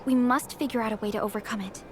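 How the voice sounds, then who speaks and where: A woman's voice: fairly high pitch, steady tone, fast, music in the background, lightly acted. An actress playing a serious, observant woman teammate in a video game story, speaking earnestly and formally. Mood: concerned.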